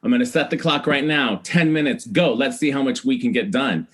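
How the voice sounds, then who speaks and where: A man's voice: mid-range voice, animated, a little fast, a bit bright, husky. A middle-aged American man telling personal stories as a podcast guest, chatty and emphatic, often acting out what people said. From Iowa, United States. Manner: chatty, acts out quoted remarks, emphatic repetition. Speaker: podcast guest, celebrity hair stylist. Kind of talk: animated personal storytelling in a podcast interview.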